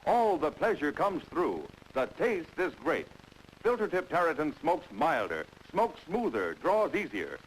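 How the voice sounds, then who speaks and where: A man's voice: fairly high pitch, sing-song, a little slow, low-quality recording. A man reading a scripted 1950s commercial with an emphatic sales delivery. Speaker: commercial announcer. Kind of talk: scripted 1950s cigarette ad read. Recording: vintage 1950s broadcast, faint noise.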